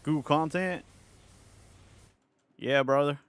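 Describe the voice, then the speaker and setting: A man's voice: a little high, takes it slowly, clear, resonant tone, faint music behind. A man from the United Kingdom putting on an American Southern cowboy accent, chatting casually and self-consciously to a livestream audience with plenty of swearing. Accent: put-on American Southern cowboy drawl. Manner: self-conscious, profane, playful rambling in a put-on accent. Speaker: livestreamer talking to chat.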